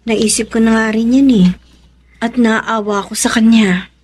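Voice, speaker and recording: An actress playing a considerate, apologetic younger sister in a Filipino radio drama, speaking in a conciliatory way. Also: female voice, a little high, fairly even intonation, measured pace, a little mellow, slightly rough. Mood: thoughtful. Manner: conciliatory, thoughtful.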